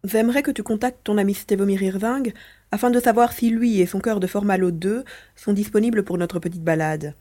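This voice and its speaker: A woman's voice: mid-range voice, brisk, warm tone, lightly acted. A woman voicing a mischievous male mouse-beaver alien, a chatty, teasing adventurer in a French audio drama.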